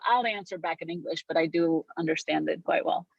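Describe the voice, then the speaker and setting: Low-voiced Romanian-born woman realtor. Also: fairly quick, creaky, husky, dry recording, muffled recording. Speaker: realtor guest. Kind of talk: casual interview conversation.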